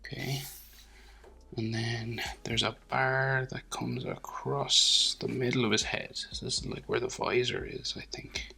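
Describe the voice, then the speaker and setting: Gravelly man with a head cold. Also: mid-range voice, flat delivery, measured pace, sharp tone, low-quality recording. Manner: thinking aloud, hesitant, many fillers, drops to near whispers. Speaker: drawing tutor. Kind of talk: casual drawing commentary.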